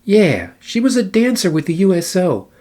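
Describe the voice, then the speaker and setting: Man playing a genial army captain, lively delivery. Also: high voice, normal speed. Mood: matter-of-fact. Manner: familiar, matter-of-fact. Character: genial male army captain, an old buddy of the detective. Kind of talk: noir radio play acting.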